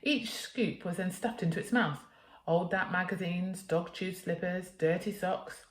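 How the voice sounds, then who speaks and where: A woman narrates a children's storybook in a fairly low voice. She reads dramatically, leaning on key words for young listeners. The room around her is noticeably echoey. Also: measured pace. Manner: dramatic, emphatic reading for children. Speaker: teacher reading a children's story aloud.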